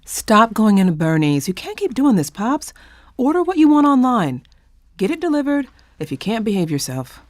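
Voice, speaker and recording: A woman's voice: a little high, very animated, moderate pace, darkish tone, no room sound, studio quality. An actress playing a blunt, exasperated grown daughter in her forties in an audio drama. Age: 40s.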